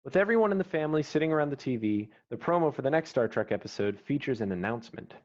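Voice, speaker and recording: A man's voice: mid-range voice, animated, fast talker, darkish tone. A man reading stage directions and scene headings as the narrator of a screenplay. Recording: dull, slightly muffled online call audio.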